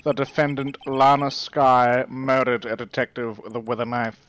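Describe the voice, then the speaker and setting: A man playing a smug, dismissive prosecutor in a fan dub of a courtroom game, sneering and deliberate. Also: mid-range voice, little pitch movement, fairly bright tone, a little hiss or hum, lightly acted.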